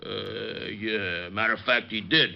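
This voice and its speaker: An actor playing a gruff, puzzled bathhouse masseur with blunt working-class phrasing and indignant questions, in 1950s radio drama style. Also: male voice, fairly low pitch, unhurried. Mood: defensive, blunt. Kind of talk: 1950s radio drama bit-part acting. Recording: muffled old-time radio recording.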